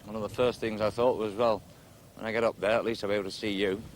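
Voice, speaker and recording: A man's voice: lowish voice, level tone, fast, a little hiss or hum, lightly acted. A man of about forty with an English accent, acting in a TV drama as a reflective, self-doubting man confiding in someone. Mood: earnest. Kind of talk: naturalistic television drama acting.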